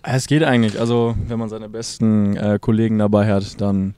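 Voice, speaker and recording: A man's voice: lowish voice, level tone, moderate pace, mellow, smooth. A 19-year-old man, a junior football player, giving laid-back, brief answers in an interview.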